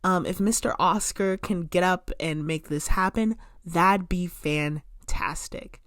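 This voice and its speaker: A young woman chatting casually to camera as a vlogger at home, expressive, with lots of "like" fillers and sudden bursts of emphasis. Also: lowish voice, takes it slowly, dry recording, studio quality. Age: young adult. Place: room in her home.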